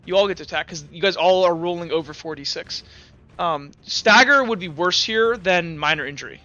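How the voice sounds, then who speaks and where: A man's voice: sits high, brisk, sharp tone, dull audio. A young man running a tabletop game as game master, talking casually, stumbling over rules and narrating gory combat.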